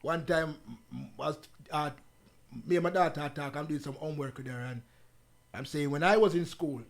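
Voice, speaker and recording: A man from the UK with a Jamaican accent, the guest on an interview show, telling stories in a relaxed, patois-laced way. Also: a little high, normal speed, creaky. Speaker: guest artist. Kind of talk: casual interview chat.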